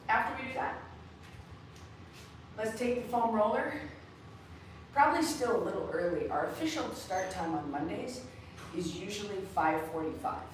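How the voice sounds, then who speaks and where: A woman coaching a live mobility class, slightly raspy after a race, guiding each move step by step. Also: lowish voice, a little hiss or hum. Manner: relaxed, guiding students step by step. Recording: echoey room, far from the mic.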